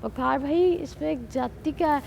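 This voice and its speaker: A young woman with a high, gravelly voice makes an insistent plea in unhurried speech, mixing Urdu and English. She is a reality show contestant, with faint noise in the background. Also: darkish tone. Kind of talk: unscripted pleading confession.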